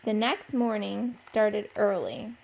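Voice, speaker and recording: A woman's voice: medium pitch, slow pace, gravelly, slight background noise, poor audio. A woman teacher reading a picture book aloud expressively to children. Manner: expressive, simple phrasing for young children.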